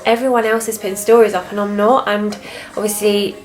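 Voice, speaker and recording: Soft-spoken young British woman vlogger. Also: mid-range voice, level tone, a little fast. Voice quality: soft, quiet voice. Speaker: fitness vlogger and influencer. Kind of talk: casual confessional chat to camera.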